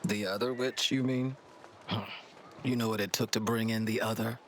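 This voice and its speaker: An actor playing a plain-spoken servant of the inquisition in a fantasy game, talking in an informal, matter-of-fact way. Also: male voice, on the low side, steady tone, normal speed, fairly bright tone, a little hiss or hum. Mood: wary, curious. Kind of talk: fantasy game dialogue acting.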